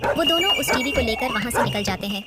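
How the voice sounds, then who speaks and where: High-voiced woman narrating a cartoon story. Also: expressive, quick pace. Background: loud music.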